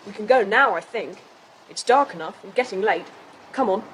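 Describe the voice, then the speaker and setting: An actor voices a sensible, take-charge schoolboy in a children's audio drama. The voice is brisk and well-spoken, at a medium pitch. Noisy ambience runs clearly behind it. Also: lively intonation, natural voice. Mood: brisk, intrigued. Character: sensible, confident schoolboy, leader of young adventurers.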